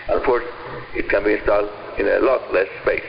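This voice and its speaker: A man in his seventies with a Cuban accent and Spanish as his first language presents a radio program in a deliberate, emphatic way. His voice has a lot of gravelly fry in it. It comes through a muffled shortwave signal with faint noise underneath. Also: fairly low pitch, steady tone. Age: 70s. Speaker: radio show host. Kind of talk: scripted shortwave radio hobby program narration. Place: radio broadcast heard over shortwave.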